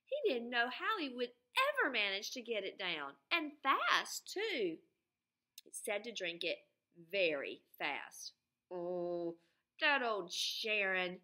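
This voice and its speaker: Bright-voiced woman teacher reading aloud. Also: medium pitch, slow. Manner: animated, emphatic, drawing out key words. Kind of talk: expressive children's read-aloud with questions to pupils.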